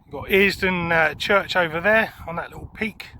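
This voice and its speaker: A man's voice: high-pitched, moderate pace. A man giving casual hands-on vlog commentary outdoors, as an amateur radio presenter. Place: outdoors on a windy high spot. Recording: windy outdoor recording, loud wind noise.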